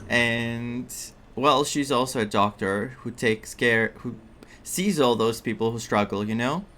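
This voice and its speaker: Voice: medium pitch, measured pace, a bit bright. A game streamer giving casual, chatty commentary on a story playthrough in an informal, reactive way. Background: game music.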